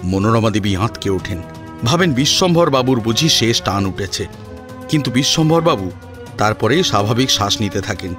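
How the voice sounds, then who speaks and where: A man's voice: lowish voice, animated, moderate pace. A man narrating a scripted audio drama in a dramatic storytelling style. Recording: music under the voice.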